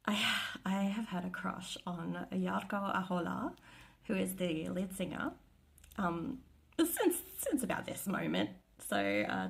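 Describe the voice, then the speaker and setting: A woman's voice: fairly low pitch, vocal fry. A woman chatting casually and gushing about her favourite bands, rambling with lots of fillers. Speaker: vlogger. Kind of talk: casual chat.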